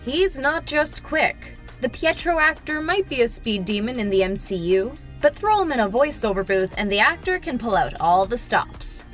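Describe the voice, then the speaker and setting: A woman with a fairly high, very animated voice delivers scripted countdown narration. She sounds like an entertainment video narrator, with faint music running underneath. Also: normal speed, hardly any pauses.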